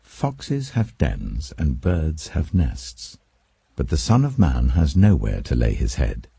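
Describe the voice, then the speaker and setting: A calm, authoritative holy teacher, voiced by a man in a scripture audiobook. The British voice is very deep and mellow, with grave, deliberate phrasing that moves widely in pitch. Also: gravelly, husky, no echo, exaggerated voice.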